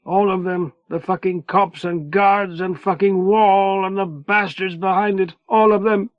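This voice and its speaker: A man's voice: sits high, steady tone, measured pace, no room sound, highs cut off, voice pushed up. A man voicing a wild young man who screams crude taunts over a prison wall, furious and nearly incoherent. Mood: bitter.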